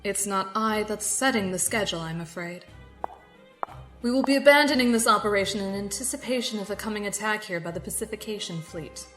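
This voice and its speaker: A woman acting in an audio drama as a controlled, condescending security captain with a formal, measured manner and polite menace. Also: medium pitch, a bit bright, faint music behind, natural voice. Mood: coolly threatening.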